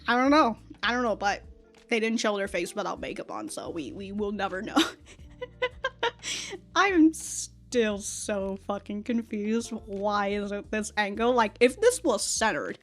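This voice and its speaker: Woman, higher voice, casual reaction host, rambling, animated, informal and sweary, faint music behind. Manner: rambling, animated, laughs through remarks, swears. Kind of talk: casual commentary on an anime episode.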